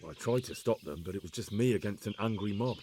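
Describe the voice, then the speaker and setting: An actor playing an earnest, measured young medieval man-at-arms in a video game. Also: lowish voice, darkish tone, a little hiss or hum, close to an everyday voice. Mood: sober, dutiful. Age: young adult.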